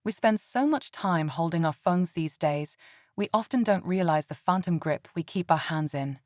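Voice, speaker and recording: A woman leading a scripted guided meditation in a calm, soothing and gentle way. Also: lowish voice, normal speed, dry recording, studio quality.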